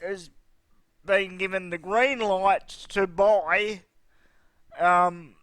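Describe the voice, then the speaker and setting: A man's voice: high voice, fairly even intonation, extremely slow, a bit bright, clean tone, no room sound. A man hosting a video, giving scornful, indignant commentary. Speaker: video host. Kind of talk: opinionated commentary.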